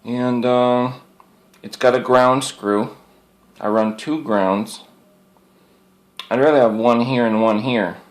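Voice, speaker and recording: A man's voice: medium pitch, steady tone, takes it slowly, long pauses. A man giving a casual, rambling product show-and-tell in a folksy, plainspoken way, often repeating his phrases. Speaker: hobbyist presenter showing radio antenna gear.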